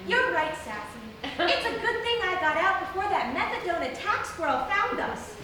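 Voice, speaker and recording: Naive little farm girl: very high, lively, gushing, radio parody, echoey theatre. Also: moderate pace, exaggerated voice. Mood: earnest, chatty. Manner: wide-eyed, gushing storytelling to her cat. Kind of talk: parody old-time radio acting. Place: live stage in a theatre. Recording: echoey theatre, distant mics, faint noise.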